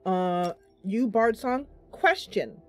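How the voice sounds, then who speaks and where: A woman's voice: medium pitch, lively intonation, slow, soft background music. A woman streaming a game, casually commentating live and thinking aloud as she chats with her viewers.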